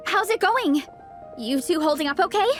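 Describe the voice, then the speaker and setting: A woman playing an energetic, friendly young sporty team leader in a video game, upbeat and encouraging. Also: very high voice, moderate pace, faint music behind. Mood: relaxed upbeat. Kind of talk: video game cutscene voice acting.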